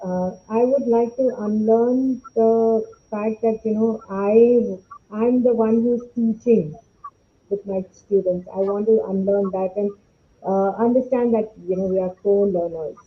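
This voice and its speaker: A woman's voice: mid-range voice, fairly even intonation, unhurried, a little hiss or hum. A woman with an Indian accent, a teacher on a panel, explaining her views earnestly on slightly lo-fi audio. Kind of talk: unscripted panel discussion.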